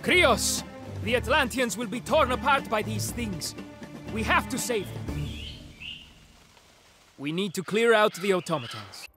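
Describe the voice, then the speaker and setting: An earnest young hero in a game cutscene. His voice is fairly high and very expressive, delivered at a measured pace over music. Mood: urgent, determined. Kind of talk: video game cutscene acting.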